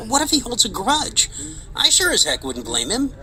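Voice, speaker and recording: Dry, sarcastic engineer in a radio drama, sharp tone. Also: male voice, sits high, wildly swooping pitch, moderate pace, heavily acted, low-quality recording. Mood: wry. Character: wisecracking male engineer on an air base.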